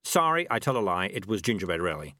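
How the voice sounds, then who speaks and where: A man doing a sly, smooth-talking street cat. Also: deep voice, big pitch swings, bright, forceful tone, no room sound, heavily acted. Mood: wheedling. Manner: glib, agreeable, quick to make things up. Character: streetwise male cat, sly and eager to please.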